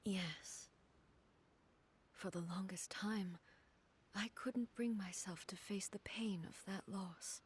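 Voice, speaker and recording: A woman playing a grave, dignified female god-ruler in a video game, speaking in a measured, solemn way that sometimes drops soft. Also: medium pitch, a little hiss or hum, lightly acted.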